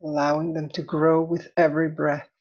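A woman leading a guided meditation as an instructor, soothing and deliberate, in measured phrases with long pauses. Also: sits low, flat delivery, highs cut off.

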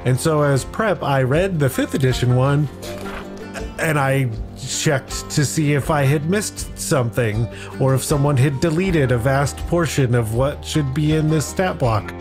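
Guy as podcast guest, thinking out loud, normal pitch, music clearly playing under him. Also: unhurried. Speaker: podcast guest, content creator. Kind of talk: casual podcast discussion.

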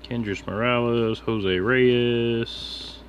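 A man's voice: medium pitch, drawn-out words. A man streaming about his card hobby, talking in a casual, slangy, laid-back mutter as he names items one by one. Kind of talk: casual chat while sorting through cards. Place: indoors at a desk with a computer. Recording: steady background room noise.

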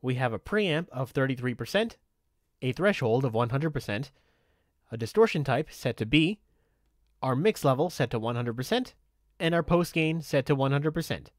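Male tutorial presenter. Methodical, step-by-step instruction, mid-pitched, recorded dry and close. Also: lively intonation, moderate pace, dry recording. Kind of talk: step-by-step software tutorial narration.

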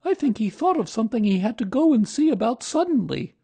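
A storybook reader voicing a simple, kindly male toy bear who muses slowly and artlessly. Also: medium pitch, big pitch swings, mellow, husky, voice pushed up. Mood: innocent, explaining. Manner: slow, musing, artless.

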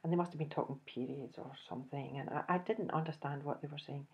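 Low-voiced middle-aged Scottish woman, slightly dull sound. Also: fast. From Scotland. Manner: animated, chuckles often, acts out dialogue. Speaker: host. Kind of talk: personal storytelling to camera. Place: indoors, small room, plain backdrop.

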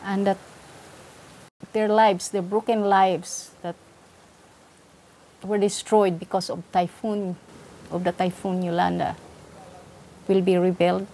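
A woman's voice: mid-range voice, little pitch movement, slow pace, long pauses, mellow, a little hiss or hum, low-quality recording. A middle-aged woman from the Philippines with a Filipino accent, speaking as a missionary in an interview testimony. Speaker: missionary on a church planting team. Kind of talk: interview testimony over a charity video. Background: music.

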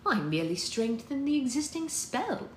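A woman's voice: sits high, sing-song, normal speed, voice pushed up. A woman voicing a haughty, cool-headed mother with a clipped, dismissive, snappish manner. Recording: roomy, faint background noise.

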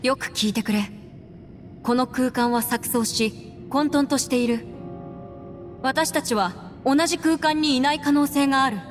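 A woman's voice: high-pitched, a little slow, pauses often. A woman voicing a composed, resolute young adventurer in a Japanese anime game, speaking in an articulate, slightly formal, earnest way. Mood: urgent, earnest. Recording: long reverb, music behind.

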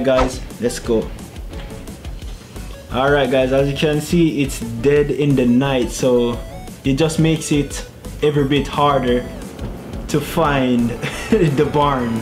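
Young man chatting over gameplay on a headset. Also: medium pitch. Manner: chatty, laughing, muttering to himself while driving. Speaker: gaming YouTuber. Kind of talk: casual gameplay commentary. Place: small home room, indoors. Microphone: gaming headset.

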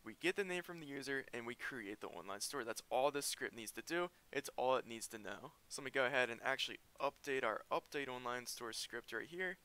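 A man's voice: mid-range voice, pushed and bright. A man teaching a programming lesson over a screen recording, explaining each coding step as he types. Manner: explains step by step while typing. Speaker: programming tutor.

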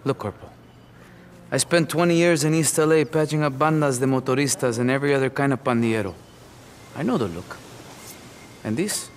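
A man's voice: mid-range voice, a little fast, slight background noise, lightly acted. A man playing a world-weary, streetwise army camp doctor in a video game, plainspoken. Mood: stern, knowing. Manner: plainspoken, knowing, slips into Spanish. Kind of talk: video game cutscene acting.

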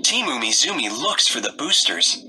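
Man, children's read-aloud narrator, very animated pitch swings, expressive short sentences, noisy background. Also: normal speed. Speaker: storybook narrator.

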